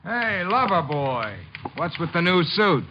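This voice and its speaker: A man's voice: high-pitched, big pitch swings, full character voice. A man playing a needling, mocking newspaper boss who drawls his jibes in a 1950s radio drama. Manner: mocking, drawn-out, teasing. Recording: muffled 1950s radio recording, faint noise.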